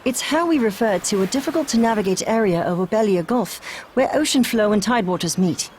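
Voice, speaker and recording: Actress as composed female adviser, medium pitch, precise, dry, video game, faint background noise. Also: lively intonation, brisk, a little mellow, natural voice. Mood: measured.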